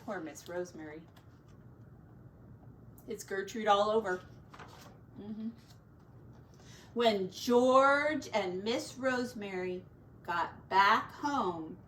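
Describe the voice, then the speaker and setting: A woman's voice: fairly high pitch, big pitch swings, takes it slowly, pauses often, fairly bright tone. A woman teacher reading a children's story aloud expressively, stretching words and adding folksy, chatty asides for young listeners. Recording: distant mic with faint background noise.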